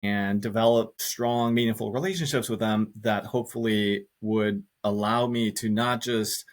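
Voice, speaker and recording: A man's voice: fairly low pitch, fairly even intonation, moderate pace. A middle-aged man from Costa Rica answering reflectively as an interview guest, earnest and often repeating words as he thinks aloud. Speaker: podcast guest, engineering professor.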